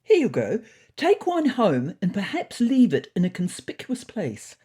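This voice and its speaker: A woman's voice: mid-range voice, huge pitch swings, unhurried, dark tone, breathy edge, voice pushed up, exaggerated voice. A woman voicing a proud, pedantic male cat in a comic story, precise and pleased with himself like a salesman.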